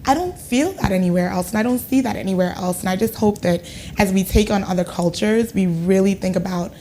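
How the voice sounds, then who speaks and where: A woman's voice: medium pitch, a little fast, warm tone, slight background noise. A woman from Ghana working as an education consultant, speaking as a guest in a reflective, earnest way. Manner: reflective, earnest, uses "like" and "right?". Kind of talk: casual studio chat.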